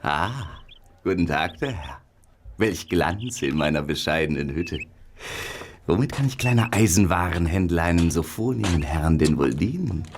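An actor playing an obsequious, flattering Victorian hardware shopkeeper in a radio play, drawing out his words servilely. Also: deep voice, normal speed, slight background noise. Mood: fawning welcome.

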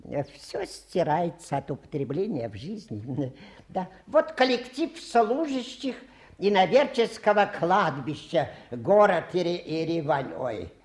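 A touchy old-fashioned showman fakir in a radio drama. His pitch swoops widely as he boasts in fussy, halting, grandiose phrases. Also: very animated. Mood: fussy, boastful. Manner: pompous, halting, fussy theatrical patter. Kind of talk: radio play acting.

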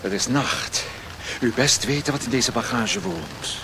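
An actor playing a sly stoker and opium smuggler in a radio play, speaking broken language. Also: male voice, medium pitch, animated, unhurried, gravelly. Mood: stern warning. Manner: broken foreigner's speech. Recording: noisy old radio play recording.

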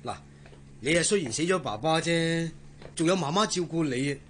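Actor as earnest young Hong Kong sailor, higher-pitched. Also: male voice, moderate pace, slight background noise, close to an everyday voice. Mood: candid, reflective. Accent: Hong Kong Cantonese. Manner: earnest, colloquial, solicitous. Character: earnest, devoted young sailor, kindly and plain-spoken. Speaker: actor in radio drama. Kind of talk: Cantonese radio drama dialogue.

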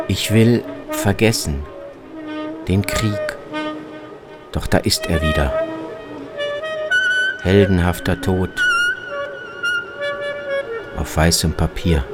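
Tiefer männlicher Radioerzähler. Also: male voice, low voice, huge pitch swings, slow pace, pauses often, over loud music. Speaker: narrator and reporter. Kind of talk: literary scripted travel narration for radio.

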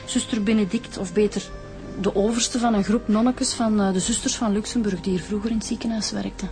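An actress playing a factual, businesslike Flemish police inspector in a radio drama. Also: female voice, medium pitch, steady tone, a little fast, mellow, soft background music, close to an everyday voice. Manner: factual, orderly.

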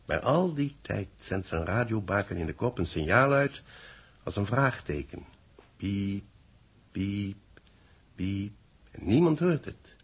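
Deep, husky, solemn man in radio drama. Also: animated, exaggerated voice. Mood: ominous. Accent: Dutch. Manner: solemn, theatrical, deliberate declamation. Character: grave senior man dramatically dictating space bulletins to his assistant. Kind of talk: 1960s radio drama acting.

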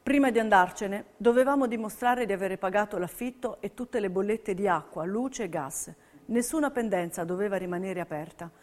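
A woman narrating a documentary theatre piece in a scripted, measured and clearly enunciated delivery. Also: mid-range voice. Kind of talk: scripted dramatic narration, reading testimonies.

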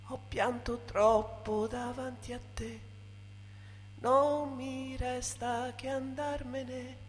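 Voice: sits high, steady tone, extremely slow. A performer giving a theatrical recitation that moves into singing, with music behind. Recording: long hall reverb with music.

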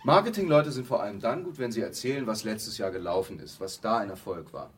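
A man's voice: on the low side, expressive, brisk, a little hiss or hum, lightly acted. An actor playing a glib, self-assured advertising man who explains matter-of-factly and asks rhetorical questions in a radio play. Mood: matter-of-fact, knowing. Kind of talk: German radio play, conversational monologue acting.

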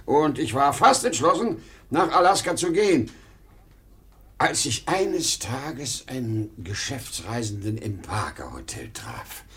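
An older man acting a proud, nostalgic, pleading travelling salesman in a 1950s German radio play, emotional and halting. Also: medium pitch, very animated, fairly bright tone. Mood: nostalgic, fervent. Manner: emotional, halting when pleading, repeating himself.

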